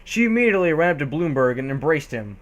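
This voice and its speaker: A man's voice: fairly high pitch, very animated, quick pace. A man narrating fan fiction as a comic dramatic reading, amused and often stumbling and correcting himself. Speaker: reader.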